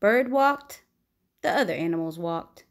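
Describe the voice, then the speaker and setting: Animated woman reading a storybook aloud, unhurried. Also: fairly low pitch. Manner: animated, deliberate, dramatic. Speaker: storyteller reading a children's picture book aloud. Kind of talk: expressive read-aloud narration.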